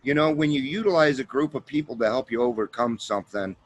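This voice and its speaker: A man's voice: mid-range voice, quick pace, no room sound. A man hosting a recovery talk show, speaking in a heartfelt, conversational way. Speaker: podcast host. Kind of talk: casual interview chat.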